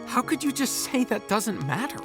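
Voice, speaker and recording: A desperate admirer pleads earnestly in a fantasy audio drama. Her voice is fairly high and very mobile, with music clearly behind it. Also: sing-song, moderate pace. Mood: dismayed, pleading.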